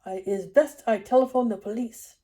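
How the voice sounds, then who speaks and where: A woman's voice: fairly high pitch, a little fast, mellow, pitched above the performer's own voice, natural voice. A woman voicing a fat, angry middle-aged German male vet with a thick, corny German accent. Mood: outraged. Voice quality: thick, sputtering voice.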